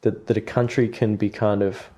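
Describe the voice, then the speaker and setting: An Australian man speaking in a low, mellow voice. He thinks aloud in a relaxed podcast chat, pausing often on ums and restarting his sentences. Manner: hesitant, many fillers and restarts. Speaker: guest artist. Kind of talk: reflective podcast conversation.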